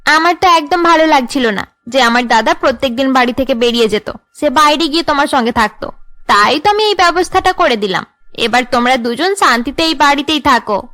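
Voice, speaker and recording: A woman voicing haughty, scheming young women in a cartoon drama, with an imperious, sharp-tongued way of talking. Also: sits high, animated, fairly quick. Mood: smug, gossipy. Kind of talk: Bengali cartoon drama dubbing.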